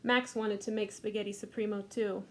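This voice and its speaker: A woman librarian leading a children's storytime, reading aloud gently and unhurriedly with a few fillers. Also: mid-range voice, flat delivery, normal speed, slight background noise.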